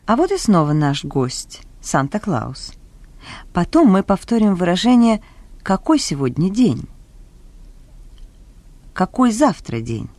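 A woman calmly narrating a language lesson, a native Russian speaker. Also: lowish voice, a little slow, pauses often, no room sound, faint music behind. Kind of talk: scripted narration.

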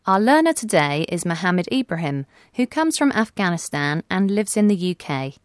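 A woman's voice: lowish voice, big pitch swings, normal speed, no room sound, clean studio sound. A woman announcer reading a scripted introduction to a radio programme in a formal way.

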